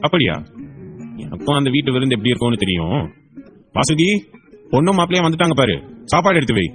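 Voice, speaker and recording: A man voicing a caring, earnest middle-aged father and father-in-law in an audio drama, gentle and fatherly. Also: mid-range voice, lively intonation, fast, faint music behind, lightly acted. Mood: welcoming. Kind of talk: Tamil audio story acting.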